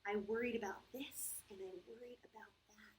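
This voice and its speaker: A woman with a fairly high voice speaks as a children's storyteller. She uses simple, patient phrasing and puts expression into her words, often checking in with questions as if to very young listeners. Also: fairly even intonation, brisk, slight background noise, low-quality recording. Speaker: children's church story host. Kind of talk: storytime for young children, talking to a puppet and reading aloud.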